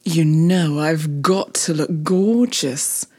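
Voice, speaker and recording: A woman's voice: sits low, slow, a little mellow, slightly rough, heavily acted. Woman as Wannabe, a gushing, vain diva bee. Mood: vain, fussy. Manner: gushing, dramatic, self-admiring. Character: vain, glamorous, fussy diva female bee.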